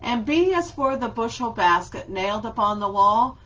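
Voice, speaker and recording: A woman librarian leading a children's story time, reading a picture book aloud and explaining gently. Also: a little high, animated, moderate pace, highs cut off.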